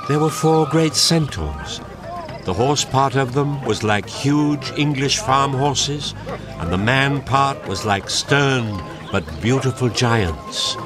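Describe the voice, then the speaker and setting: A man narrating a children's story in a measured, descriptive, expressive way. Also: medium pitch. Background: music.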